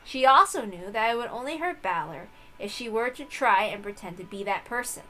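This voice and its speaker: A woman reading a visual novel aloud, with expressive narration and casual asides. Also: mid-range voice, fairly quick, poor audio. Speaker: reader of a visual novel playthrough.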